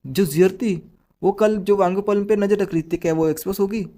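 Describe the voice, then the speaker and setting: A hoarse man voicing a bewildered, flippant young man, with quick, offhand questions. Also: high-pitched, fairly even intonation, dark tone, natural voice.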